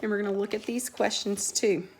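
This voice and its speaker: A woman teaching young pupils through a read-aloud lesson, posing questions and answering them in an instructional way, with a creaky voice. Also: medium pitch, fairly even intonation, a little fast, background noise. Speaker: elementary school teacher.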